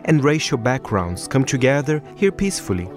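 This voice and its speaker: A man's voice: medium pitch, big pitch swings, moderate pace, over music. A man reading an interpreter's voice-over of an interview in a news feature.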